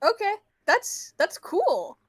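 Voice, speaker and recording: A high, excitable woman's voice, recorded dry and close to the room's silence. She speaks as a player improvising in a casual tabletop game. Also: slow pace, no echo. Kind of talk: casual improvised roleplay chat.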